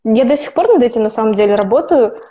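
A woman's voice: on the high side, lively intonation, fast talker, dark tone. A woman in her twenties, a podcast guest talking casually and rambling, with frequent restarts and self-corrections. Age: 20s. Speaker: podcast guest, opera student. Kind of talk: casual conversational interview. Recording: dull lo-fi recording.

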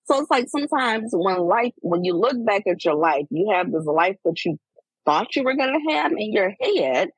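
A woman in her forties, talking candidly in a casual chat, reflective and animated as she tells personal stories. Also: medium pitch, moderate pace, husky, no room sound, poor audio. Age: 40s. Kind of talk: casual heartfelt conversation.

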